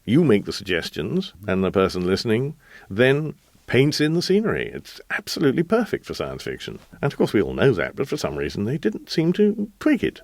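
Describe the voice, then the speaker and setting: A man's voice: medium pitch, wildly swooping pitch, fairly quick, a little mellow, no echo. A man giving his opinions and memories in an interview.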